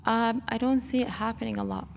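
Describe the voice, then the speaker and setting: Impassioned woman with a gravelly voice. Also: fairly high pitch, steady tone, brisk, a little hiss or hum. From Kuwait. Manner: impassioned, rising to emphatic outbursts. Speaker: interview guest. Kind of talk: emotional interview. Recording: long reverb hall.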